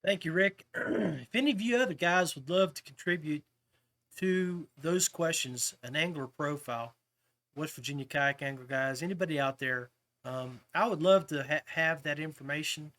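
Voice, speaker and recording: A man's voice: on the high side, normal speed, fairly bright tone. A man casually hosting a podcast, talking directly to his listeners, with music behind him. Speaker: podcast host.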